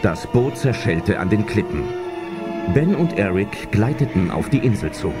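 A man's voice: fairly low pitch, sing-song, measured pace. A man narrating a suspenseful adventure audio drama in scripted storyteller style. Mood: suspenseful storytelling. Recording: loud music under narration.

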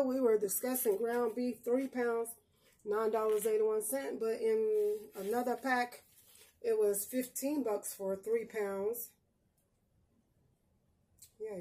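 A woman's voice: on the high side, darkish tone, a little hiss or hum. A middle-aged woman vlogging casually from a small home kitchen, talking bluntly and cussing freely as she chats to her viewers. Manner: chatty, blunt, cusses freely, addresses viewers as y'all. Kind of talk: casual grocery haul vlog. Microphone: phone camera a few feet away.

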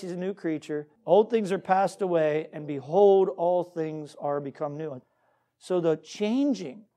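A man's voice: a little high, mellow. A man preaching as a pastor, earnest and exhorting, reading scripture and putting questions to his listeners. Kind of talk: Baptist sermon with scripture reading.

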